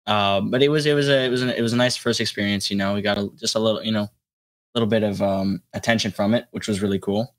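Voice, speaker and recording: A young adult man chatting casually as an interview guest, with a halting, rambling delivery. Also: on the low side, fairly even intonation. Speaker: guest, solo electronic musician.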